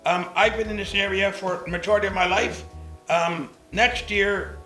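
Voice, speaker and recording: A man's voice: high-pitched, fairly even intonation, moderate pace, sharp tone, roomy sound, faint music behind, low-quality recording. A man in his seventies, a longtime local resident, plainly reminiscing in an interview. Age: 70s. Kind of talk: interview recollection.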